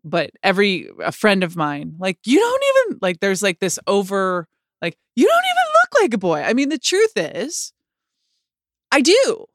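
A woman with a lower voice than most women, speaking candidly in a conversation with many likes and restarts. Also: wildly swooping pitch, moderate pace, no echo, studio quality. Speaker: guest sharing her experience.

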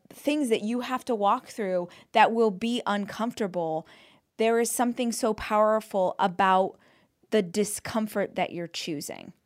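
A woman's voice: mid-range voice, no echo, studio quality. Enthusiastic woman podcast host. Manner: enthusiastic, reflective interviewer. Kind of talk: conversational interview.

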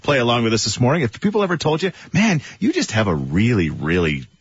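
A man's voice: mid-range voice, huge pitch swings, fast talker, husky, dry recording. A man hosting a morning radio show, chatting casually with co-hosts and callers, rambling with plenty of fillers and chuckles. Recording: dull lo-fi broadcast audio.

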